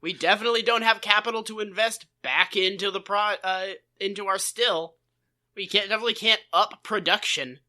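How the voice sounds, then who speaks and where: A man's voice: high voice, fairly even intonation, a little fast, bright, forceful tone. A man co-hosting a podcast with casual comedic commentary, riffing sarcastically and swearing freely. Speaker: podcast co-host.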